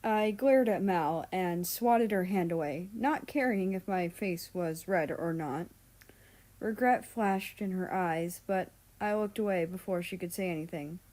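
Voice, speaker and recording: Unhurried woman reading a story aloud. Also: on the low side, a little mellow. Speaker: story reader. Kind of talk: expressive read-aloud narration of fan fiction.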